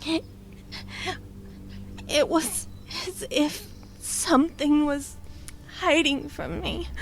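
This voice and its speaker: An actress playing a frightened, shaken psychic in an audio drama, halting and breathlessly emotional. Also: female voice, high-pitched, a bit bright.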